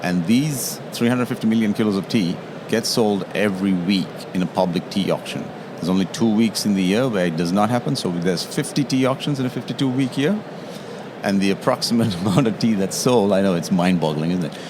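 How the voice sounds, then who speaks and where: Sri man, very low voice, guest expert on a noisy show floor. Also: fairly quick. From Sri Lanka. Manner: explanatory, tags statements with "yeah?". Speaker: tea taster and guest. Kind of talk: interview at a trade show.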